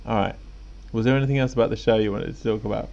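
A man's voice: lowish voice, quick pace, gravelly, a little mellow, lo-fi sound. A man co-hosting a podcast in a laid-back, rambling casual chat, often chuckling. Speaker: podcast co-host.

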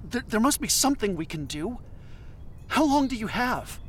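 A man playing an earnest, anxious, remorseful anthropologist in an audio drama, with a halting, pleading delivery. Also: very high-pitched, sing-song, a bit bright, heavily acted. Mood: shocked concern. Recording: clearly noisy ambient background.

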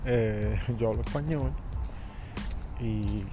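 A man's voice: lowish voice, slow pace, over music. Blunt, swearing man ranting casually. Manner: blunt, swears freely, many restarts. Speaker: podcast host. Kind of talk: casual solo podcast rant. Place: United States.

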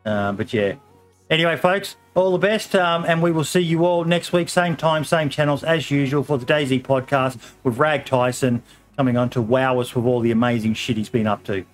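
A middle-aged man hosting a casual podcast, his voice a little hoarse, easygoing and full of ums and "mate". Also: mid-range voice, normal speed, soft background music. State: slightly hoarse. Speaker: podcast host.

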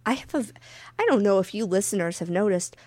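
A woman co-hosting a casual podcast, talking very loudly and telling stories with lively, profane energy. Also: medium pitch, fast, no room sound. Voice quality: very loud voice. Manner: animated, profane storytelling. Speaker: podcast co-host.

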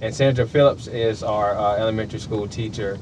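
A man's voice: on the low side, steady tone, brisk, darkish tone, slight background noise, low-quality recording. A man in his late twenties hosting a podcast in casual conversation. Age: late 20s. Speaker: podcast host. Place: podcast studio.

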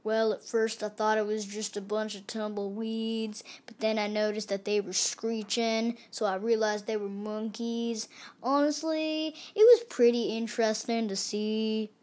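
A woman voicing a laid-back male zoo witness who answers in a slow, offhand way. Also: on the high side, steady tone, a little mellow, lightly acted.

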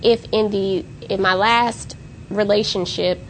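A woman's voice: medium pitch, steady tone, a little slow, slight background noise, rough recording. A woman co-hosting a podcast, talking about relationships in an emphatic way and building up to pointed exclamations. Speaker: co-host. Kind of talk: casual podcast discussion.

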